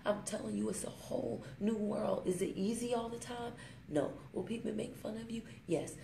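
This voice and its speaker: A woman's voice: medium pitch, steady tone, creaky, mellow. Woman, motivational vlog, preachy and emphatic, smartphone mic, echoey room, faint background noise. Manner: emphatic, preachy, fond of rhymes, slows down to stress points. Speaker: network marketing vlogger. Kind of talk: motivational vlog talk to camera.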